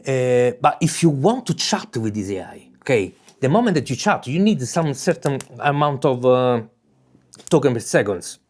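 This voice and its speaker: An Italian-accented man explaining his home AI machine off the cuff. He stops and restarts often, fills gaps with "uh", and laughs lightly at himself. His voice sits at a middle pitch for a man. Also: big pitch swings, darkish tone. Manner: halting, many fillers and restarts, laughs often. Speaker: tech video host. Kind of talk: casual unscripted explainer.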